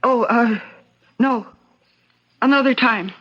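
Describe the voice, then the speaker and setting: An actress playing a restless politician's wife with a secret gambling habit, narrating and acting in a radio drama. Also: female voice, a little high, slow, noisy background. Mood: eager, nervous. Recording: dull lo-fi radio sound under loud music.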